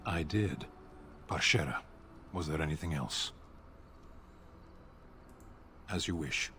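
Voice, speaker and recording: A man playing a stern, stoic giant warrior in a fantasy video game, clipped and deadpan. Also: extremely low pitch, normal speed, a little hiss or hum. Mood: dry, curt.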